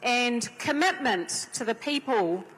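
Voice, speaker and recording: Slow-spoken Kiwi woman in a big hall. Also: on the high side, lively intonation, fairly bright tone, slight background noise. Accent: New Zealand. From New Zealand. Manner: measured, earnest, with Maori greetings. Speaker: panelist. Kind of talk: conference panel speech. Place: large conference hall. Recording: reverberant conference hall.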